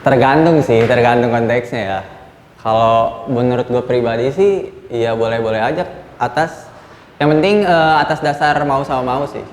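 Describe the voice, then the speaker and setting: A man's voice: medium pitch, moderate pace. A laid-back young male student in his twenties with a blunt, casual delivery. He is heard in an echoey room with a long reverb and faint background noise. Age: 20s. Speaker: university student. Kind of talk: casual interview answers.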